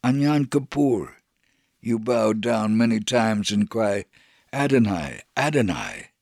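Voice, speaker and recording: Guy telling a story from a script, real slow n solemn, creaky voice. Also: on the low side, big pitch swings, darkish tone, dry recording, studio quality. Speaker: storyteller on a religious radio program. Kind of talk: scripted first-person narrative reading.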